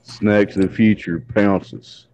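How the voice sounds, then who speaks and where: A man's voice: fairly low pitch, steady tone, slow, darkish tone, slight background noise. A man chatting casually in an online group hangout, making jokes and wordplay. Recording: dull lo-fi call audio.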